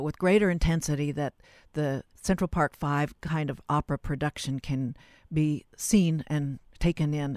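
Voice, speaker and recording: A woman hosting a radio interview in a conversational, halting, roundabout way. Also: low voice, dry recording, studio quality.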